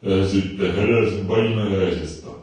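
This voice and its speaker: An actor playing a crude, bitter dance-band singer in a video game, telling deadpan, sardonic jokes through a reverberant stage microphone. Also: male voice, deep voice. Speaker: dance pavilion lead singer. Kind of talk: stage banter with deadpan one-liner jokes. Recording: heavy microphone reverb, distant from mic.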